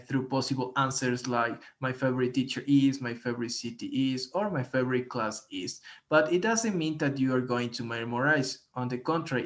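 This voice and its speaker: A man from Ecuador with a Spanish accent, giving a class presentation on speaking strategies, careful and halting with many restarts. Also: mid-range voice. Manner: careful, with frequent fillers and restarts. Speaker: student presenter.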